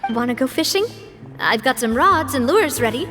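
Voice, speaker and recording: A woman's voice: high-pitched, lively intonation, normal speed, over music. An actress playing a confident, playful young woman who leads a local group, teasing and laughing often, in a game cutscene. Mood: gentle. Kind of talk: game voice acting.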